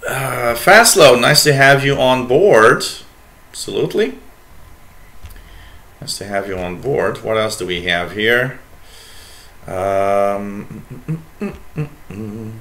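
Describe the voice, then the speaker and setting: Bright-voiced French-Canadian man, chatty host. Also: medium pitch, lively intonation, takes it slowly, long pauses. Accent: Quebec French. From Quebec, Canada. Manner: rambling, reads chat messages aloud, many fillers. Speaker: radio show host. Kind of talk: casual live-stream chat.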